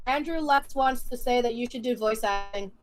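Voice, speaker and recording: A woman's voice: on the high side, moderate pace. A woman in her thirties co-hosting a casual stream, playful and teasing with frequent giggles. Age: 30s. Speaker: livestream co-host. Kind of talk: casual chat.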